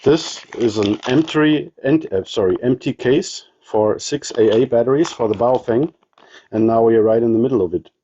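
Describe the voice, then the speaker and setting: A man with a German accent showing his radio gear in a casual walkthrough, halting with many ums and restarts. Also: medium pitch, warm tone, dull audio, a little hiss or hum. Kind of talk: casual show-and-tell walkthrough.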